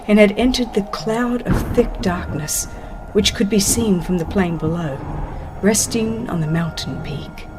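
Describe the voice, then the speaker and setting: Solemn woman narrator over prominent music. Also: lowish voice. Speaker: audiobook narrator. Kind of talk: dramatized scripted narration of a religious history. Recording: music under the voice. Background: background music.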